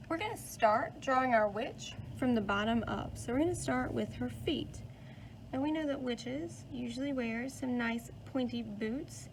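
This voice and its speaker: A woman teacher with a high voice and noticeable creak. She talks as if to young children, in the manner of a classroom read-aloud and lesson. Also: background noise.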